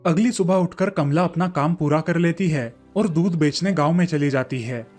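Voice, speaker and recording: Male storyteller, fairly high voice, scripted children's tale narration, faint music behind. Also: moderate pace. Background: soft background music.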